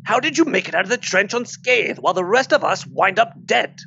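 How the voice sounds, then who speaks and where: Man acting a creaky, dying British soldier, muffled noisy audio. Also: sits high, lively intonation, brisk, bright, forceful tone, exaggerated voice. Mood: urgent, accusing. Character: dying, bitter wounded British soldier, protective young father.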